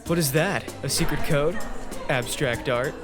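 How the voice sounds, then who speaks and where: A man's voice: fairly high pitch, unhurried, natural voice. Eager, awkward college guy, acted by a man. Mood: flirty, joking. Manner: chatty, awkward, joking. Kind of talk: comedic-dramatic comic dub acting. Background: music.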